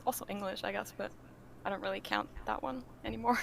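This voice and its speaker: A woman's voice: mid-range voice, fairly even intonation, fast talker, a bit bright. A woman from Germany, a native German speaker, chatting casually as a podcast guest in a talkative, self-deprecating way. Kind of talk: casual chat. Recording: clearly noisy background.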